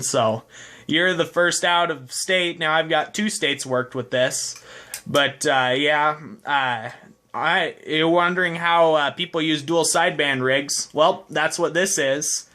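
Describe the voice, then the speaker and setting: A man with a fairly high, bright, forward voice talks casually as a ham radio operator. His delivery is conversational and informal, captured on a home video of the radio exchange. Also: steady tone, unhurried.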